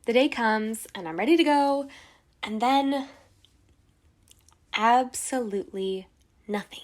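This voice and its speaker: A woman's voice: fairly high pitch, slow pace. A woman playing an indignant, dramatic Hollywood socialite in an amateur radio play, with a theatrical, exasperated manner. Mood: indignant recounting.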